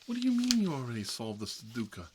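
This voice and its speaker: A man's voice: medium pitch, huge pitch swings, quick pace. A man performing a chatty ASMR role-play as a fussy, petty grown man stuck babysitting, rambling on in a gossipy, petulant manner. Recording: clearly noisy recording with clicks.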